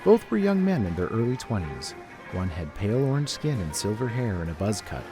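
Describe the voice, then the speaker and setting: A man's voice: on the low side, sing-song, a little fast, poor audio. A man reading scripted story narration as a narrator, with music behind him.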